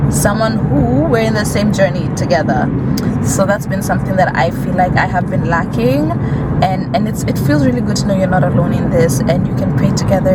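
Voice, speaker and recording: A young woman with a Kenyan accent talking casually and candidly as she drives, with many fillers. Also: mid-range voice. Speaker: vlogger. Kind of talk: casual chat while driving. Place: in a car on city streets. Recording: loud road noise in a car.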